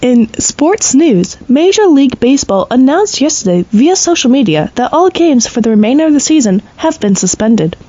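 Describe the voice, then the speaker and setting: Woman playing a high-voiced, composed news anchor. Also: sing-song, moderate pace, hardly any pauses, warm tone. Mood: formal, composed. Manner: formal, composed newsreading. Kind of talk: scripted news bulletin. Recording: dull, slightly muffled sound.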